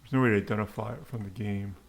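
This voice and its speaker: A man giving an unscripted coding tutorial, haltingly muttering to himself as he types. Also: lowish voice, steady tone. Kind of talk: unscripted screencast coding tutorial, thinking aloud. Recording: clear background noise, keyboard clicks. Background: typing and mouse clicks.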